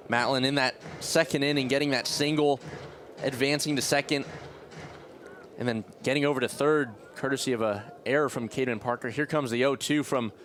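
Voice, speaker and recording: A man doing live radio baseball play-by-play as the announcer, excitable on big plays with drawn-out calls. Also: medium pitch. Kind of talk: live sports commentary. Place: outdoor baseball stadium broadcast. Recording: loud crowd and stadium noise behind. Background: crowd, ballpark ambience.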